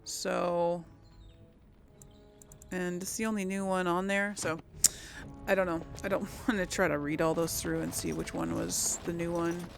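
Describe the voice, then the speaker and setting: Woman, gaming host, casual commentary, chatty, hesitant, thinking aloud, creaky voice, faint music behind. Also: on the low side, little pitch movement, a little slow, pauses often.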